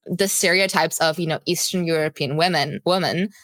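A podcast host in her thirties, a woman from Singapore with a slightly American accent. She talks in a chatty, animated way and laughs often. Her voice sits a little low but sounds bright, and the recording is dry. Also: moderate pace, no room sound. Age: 30s. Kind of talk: casual interview chat.

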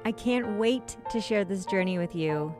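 A woman hosting a podcast, reading a scripted intro and then chatting with her guest in an upbeat, expressive way. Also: medium pitch, measured pace, music in the background. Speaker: podcast host. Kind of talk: scripted intro narration and interview chat. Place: home closet.

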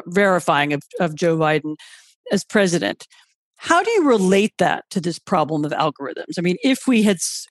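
A man's voice: high-pitched, dry recording, studio quality. A man interviewing a guest, asking long, thoughtful questions with restarts and repeated words.

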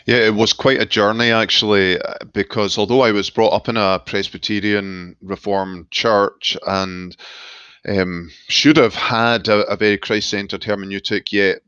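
A middle-aged man with a Glasgow Scottish accent, a seminary professor speaking as an interview guest in a measured, thoughtful way. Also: lowish voice, steady tone, a bit bright, clean tone, no room sound, highs cut off. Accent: Glaswegian Scottish. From Scotland. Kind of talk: reflective interview talk. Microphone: remote call line.

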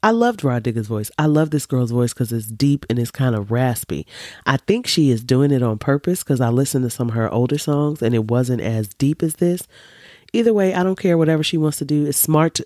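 A woman in her forties with a deep voice, hosting a podcast in an emphatic, animated, opinionated monologue full of casual swearing. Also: brisk, no echo, clean studio sound. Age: 40s. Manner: emphatic and animated, swears freely, preachy asides. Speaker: podcast host.

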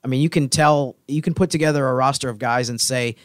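A man's voice: mid-range voice, steady tone, quick pace, no room sound, studio quality. A man on a podcast panel giving conversational sports analysis in an explanatory, reasoned manner.

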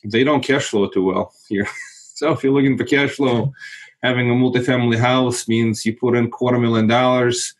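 A man in his forties from Moldova, a native Russian speaker, calmly talking about his real estate investing in a casual interview, often repeating words as he searches for them. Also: mid-range voice, normal speed, rough recording. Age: 40s.

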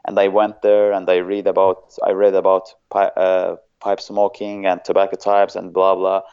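Man, Azerbaijani accent, smooth deep voice, hesitant guest. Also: monotone, moderate pace, darkish tone, lo-fi sound. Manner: hesitant, often searching for words. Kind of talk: casual interview chat.

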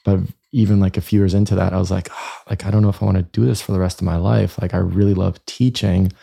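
Guy guest on a podcast, deep creaky voice, mellow, telling stories casually. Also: steady tone, quick pace. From born in Croatia, lived in Germany and Canada. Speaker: podcast guest, chiropractor and speaker. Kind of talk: casual interview chat with anecdotes.

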